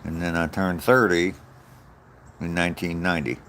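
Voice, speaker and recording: A man's voice: low-pitched, level tone, normal speed, a bit bright, slight background noise. A man in his sixties from the United States, chatting casually with live viewers while out on a walk, reminiscing in an easygoing way and chuckling often. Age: 60s. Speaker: vlogger answering live chat. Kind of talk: casual rambling chat. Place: outdoors on a walk.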